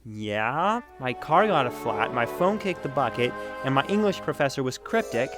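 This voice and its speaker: Exasperated, animated grumpy boss played by a man. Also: mid-range voice, moderate pace, over music, lightly acted. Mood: grumpy, resigned. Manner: exasperated, sarcastic. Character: exasperated grumpy young man, workplace boss, prone to overreaction. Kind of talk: comedic audio drama acting.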